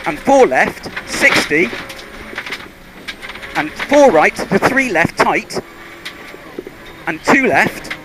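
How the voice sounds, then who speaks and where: High-pitched male rally co-driver calling pace notes. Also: very animated, extremely slow, long pauses. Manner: clipped, urgent calls in short bursts. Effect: car intercom. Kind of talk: reading pace notes during a rally stage. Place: inside a rally car on a forest stage. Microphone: helmet intercom headset. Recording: car intercom with loud engine and vehicle noise.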